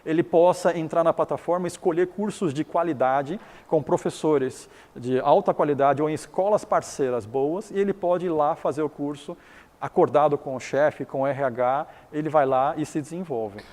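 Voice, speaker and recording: A man's voice: fairly high pitch, moderate pace, a little mellow, long reverb tail. A middle-aged man from Taiwan whose first language is Chinese, explaining his business in an interview, often restarting and repeating words.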